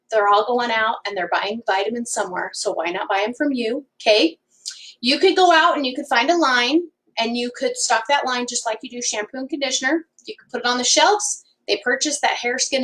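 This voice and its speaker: A woman's voice: a little high, a bit bright. A woman in her forties, a hairdresser and salon owner, giving a whiteboard business talk and ending points with "okay?", recorded by a camera across a room at home. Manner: emphatic, ends points with "okay?". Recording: voice from a distance.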